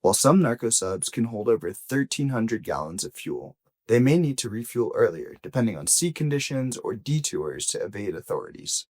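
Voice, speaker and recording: A man reading a scripted audio news investigation as a journalist, with measured, deliberate phrasing and emphatic pauses. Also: lowish voice, very animated, darkish tone.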